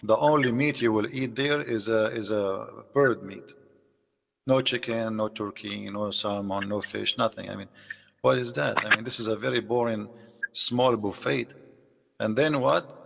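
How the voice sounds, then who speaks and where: A man's voice: lowish voice, moderate pace, vocal fry, a bit bright, long reverb tail. A man whose first language is Arabic, hosting a debate and pressing his opponent with mocking questions. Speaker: debate host. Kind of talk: live debate call.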